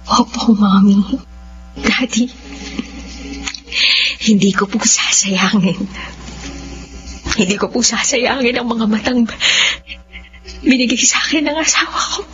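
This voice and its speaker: A woman playing a tender, devoted young widow in a Filipino radio drama, speaking with tearful, breathy earnestness. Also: on the high side, slow pace, pauses often, fairly bright tone, a little hiss or hum. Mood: earnest. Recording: dull lo-fi radio drama, music underneath.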